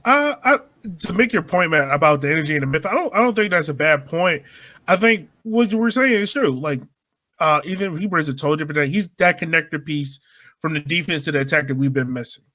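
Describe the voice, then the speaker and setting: A man's voice: on the high side, expressive, fast, pushed and bright, dry recording. A man hosting a podcast, giving casual sports analysis in conversation. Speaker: podcast host.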